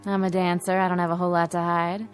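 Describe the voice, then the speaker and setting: An actress playing a brassy, defiant nightclub dancer in a radio play, blunt and streetwise. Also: female voice, fairly low pitch, level tone, fast, faint music behind, close to an everyday voice.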